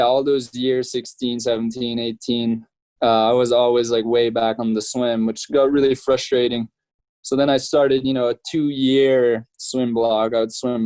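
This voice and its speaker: A man's voice: medium pitch, no echo. Chatty laid-back young man, dry sound. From France. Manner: relaxed and chatty, laughs often, many fillers. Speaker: professional triathlete, guest. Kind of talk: casual interview chat.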